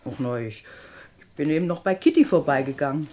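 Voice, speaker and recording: An actress playing a chatty, excitable policeman's wife in a 1960s radio play. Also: low voice, fairly quick. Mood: chatty, grumbling.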